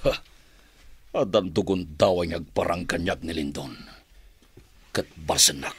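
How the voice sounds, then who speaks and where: An actor playing a scheming villain boss in an Ilocano radio drama, with a husky voice. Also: male voice, low-pitched, measured pace, fairly bright tone, no echo, heavily acted. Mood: intimate, possessive.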